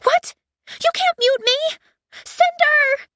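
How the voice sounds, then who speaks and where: A woman voicing a female spaceship AI who is indignant, dramatic and quick to squeal. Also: very high voice, pushed and bright, dry recording, studio quality, voice pushed up, full character voice. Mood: excited. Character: excitable shrill female ship AI.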